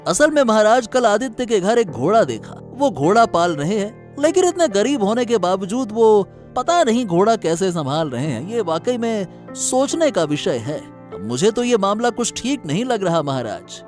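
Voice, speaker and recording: A man's voice: high voice, big pitch swings, normal speed, few pauses, darkish tone, soft background music. A man voicing a greedy, scheming royal courtier in a cartoon story, with an oily, insinuating manner. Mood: fawning, insinuating. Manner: oily, insinuating, talks aloud to himself. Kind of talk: animated moral story acting.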